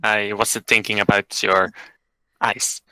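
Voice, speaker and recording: A man's voice: lowish voice, level tone, takes it slowly, sharp tone, clear, resonant tone, dry recording, rough recording. A man playing a tabletop game, curious and polite, talking in and out of character. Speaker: player. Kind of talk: casual tabletop roleplay.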